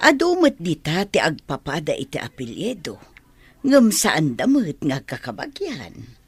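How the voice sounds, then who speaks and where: Husky, low-voiced kindly mother. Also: wildly swooping pitch, measured pace, no room sound, exaggerated voice. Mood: concerned, reassuring. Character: kindly, concerned mother of a grown son. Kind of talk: Ilocano radio drama acting.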